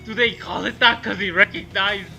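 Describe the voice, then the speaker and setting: A man's voice: high-pitched, moderate pace, vocal fry, bright, forceful tone, faint music behind. A man bantering casually as a commenter during a group reading. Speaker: co-host commenting on fan fiction.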